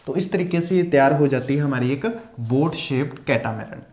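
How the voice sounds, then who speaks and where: A young man teaching a zoology class at a whiteboard, explaining slowly and repeating key terms as he writes. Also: mid-range voice, a little mellow. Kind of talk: whiteboard lecture mixing Hindi and English. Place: small room with a whiteboard. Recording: echoey room.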